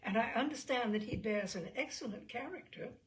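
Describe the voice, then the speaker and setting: A woman voicing a polite, gentle young gentlewoman in an audiobook, speaking in a measured, courteous and reserved way. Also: a little high, lightly acted. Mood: polite, guarded. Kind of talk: audiobook character dialogue.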